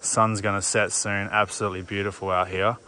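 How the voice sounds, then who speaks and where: A man's voice: lowish voice, little pitch movement, brisk, bright, forceful tone. A young Australian man vlogging casually to camera while out on a bush hiking trail, upbeat and chatty. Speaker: hiker vlogger. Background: music.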